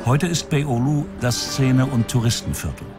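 A man's voice: medium pitch, fairly even intonation, moderate pace, over music. A man with a standard German accent narrating a television documentary in a scripted style.

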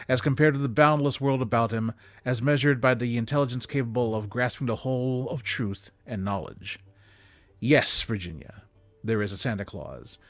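A man reading classic prose aloud expressively, with measured, dramatic emphasis, close to a desk microphone. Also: medium pitch, no echo. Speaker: reader of holiday stories aloud. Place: small indoor room with bookshelves. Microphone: desk condenser microphone, close. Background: music.

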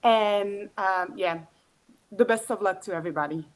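A woman's voice: medium pitch, normal speed, rough recording. A woman in her twenties speaking casually on a video call as a former student, with frequent "like" fillers. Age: 20s. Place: bedroom at home.

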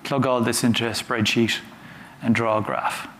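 A man giving a conference talk from a stage, conversational and halting, often repeating words as he goes. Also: medium pitch, steady tone, fairly bright tone. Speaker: conference speaker. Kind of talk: conference talk with audience questions. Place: large conference hall on a stage. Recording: roomy hall sound with faint noise.

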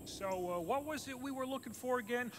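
A man's voice: very high-pitched, a little fast. A man voicing a nervous, whiny, slightly dim bug sidekick in a children's cartoon. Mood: dry, whiny. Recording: loud background music.

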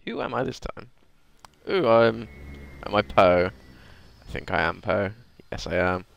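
A man's voice: fairly low pitch, a little slow, gravelly, a bit bright, muffled recording. A man with a cold, congested and sniffly, chatting casually through a video game and mumbling to himself. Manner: chatty, self-deprecating, mutters to himself. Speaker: gameplay commentator. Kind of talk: casual let's play commentary. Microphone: desk microphone.

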